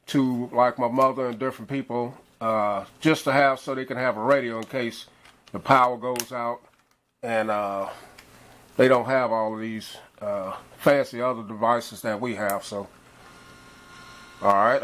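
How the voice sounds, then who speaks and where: Easygoing middle-aged male reviewer, mid-range voice, unhurried, loose and rambling delivery. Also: medium pitch. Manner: relaxed, rambling, frequent uhs. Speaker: gadget reviewer. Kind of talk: casual product review.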